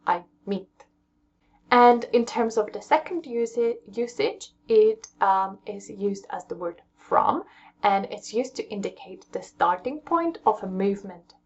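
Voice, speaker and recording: A woman's voice: mid-range voice, unhurried, darkish tone, dull audio. A young woman with an English accent, patiently teaching a language lesson at home and checking in with her viewers as she explains. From England.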